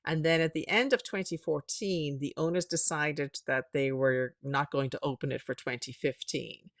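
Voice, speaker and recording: A woman from Ireland with a softened Irish accent, a guest telling lively stories in a casual interview. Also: rumbling low, no room sound, studio quality. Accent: Irish, softened by years in America. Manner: lively storyteller, chatty, laughs often. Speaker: author and guest.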